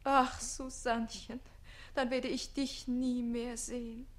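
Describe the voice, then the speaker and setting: An actress playing a lovesick, impulsive adolescent page boy in a German radio drama, sighing and gushing in a bright voice. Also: fairly high pitch, level tone, slow pace. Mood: agitated. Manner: breathless sighing, gushing, impetuous.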